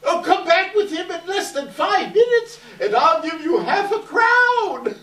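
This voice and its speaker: An old gentleman, giddy and kindly, acted by a man on stage in a theatrical, exclaiming way. His pitch swoops widely and his pace is unhurried. It is heard from a distance in an echoey hall. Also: big pitch swings, exaggerated voice. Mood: giddy, delighted. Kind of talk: readers theater stage acting.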